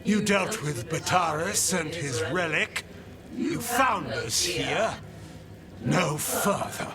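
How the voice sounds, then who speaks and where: A man's voice: fairly high pitch, lively intonation, takes it slowly. A man playing a fanatical, menacing blood mage who declaims grandly through a possessed body, in a fantasy game. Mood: fanatical, grandiose. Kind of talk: theatrical fantasy video game acting. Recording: loud music behind the voice.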